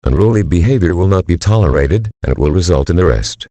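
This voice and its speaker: Super deep robot-ish guy reading an official warning, swinging intonation, bone dry. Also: animated, quick pace, warm tone, no room sound. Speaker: emergency warning announcer. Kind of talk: formal scripted weather emergency warning.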